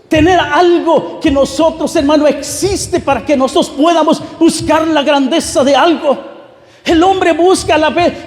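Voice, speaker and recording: A man preaches with fervor in a very high-pitched voice, his words ringing through a hall with long reverb. He addresses the congregation directly and repeats his phrases to press each point. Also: darkish tone. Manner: fervent, repetitive phrasing. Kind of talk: Pentecostal-style sermon. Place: large reverberant room, church service.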